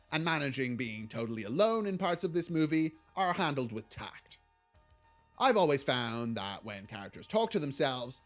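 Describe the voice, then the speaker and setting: A man giving a scripted video essay review as a film critic, in a measured, articulate and analytical way. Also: mid-range voice. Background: background music.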